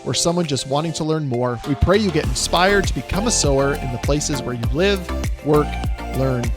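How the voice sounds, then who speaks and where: A man's voice: on the high side, animated, normal speed. A man hosting a church podcast, delivering an upbeat, encouraging intro and leading an interview. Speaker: podcast host. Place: a home. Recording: dry close mic with faint music.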